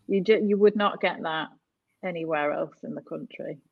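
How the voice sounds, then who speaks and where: A woman's voice: deep voice, normal speed, gravelly, no echo, phone-quality sound. A woman from North West England chatting casually as a guest on a podcast. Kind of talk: casual interview chat.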